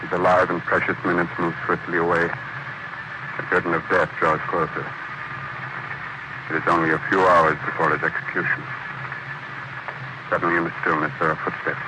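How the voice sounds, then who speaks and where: A man narrating a 1940s radio drama in a grave, measured way. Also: low-pitched, level tone. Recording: noisy old radio broadcast.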